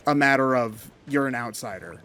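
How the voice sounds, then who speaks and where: A man's voice: medium pitch, normal speed, pushed and bright. A man chatting casually as a gaming commentator, explaining his views in a loose, rambling way. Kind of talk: casual discussion of video games.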